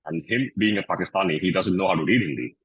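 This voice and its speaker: Deep husky man telling a story. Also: fast talker, dry recording, dull audio. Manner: anecdotal, inviting others to share. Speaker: meeting facilitator. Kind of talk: storytelling at a club meeting.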